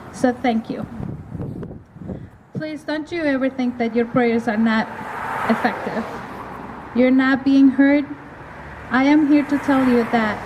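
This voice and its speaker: A middle-aged woman from El Salvador giving an earnest, measured testimony at an outdoor evening rally, pausing between phrases. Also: high-pitched. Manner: earnest, measured delivery with pauses. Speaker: rally speaker. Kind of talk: prepared testimony speech. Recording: outdoor recording with clear ambient noise.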